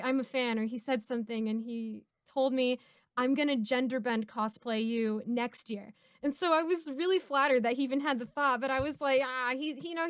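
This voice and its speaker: A woman in her twenties telling stories in a casual, giggly, expressive way as a streamer. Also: a little high, clear, resonant tone, no room sound, clean studio sound. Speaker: streamer and narrator hosting a Q&A. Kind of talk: casual chat, storytelling.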